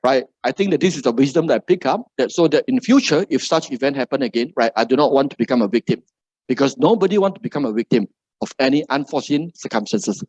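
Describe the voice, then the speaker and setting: A man's voice: medium pitch, expressive, fast talker, darkish tone, breathy edge, no room sound, highs cut off. A middle-aged man from Malaysia with a Malaysian English accent gives a seminar talk to a live audience, ending nearly every phrase with "right?". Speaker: motivational speaker.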